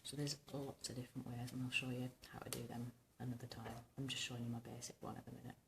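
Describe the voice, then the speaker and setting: A woman in her thirties with a husky, rumbling, slightly hoarse voice, chatting casually and ramblingly through a hairstyling tutorial to camera. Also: little pitch movement, quick pace, roomy sound, very noisy. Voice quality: husky, rumbling, smoky voice from tonsillitis. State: recovering from tonsillitis, hoarse. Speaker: vintage hairstyling vlogger. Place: small domestic bedroom, indoors.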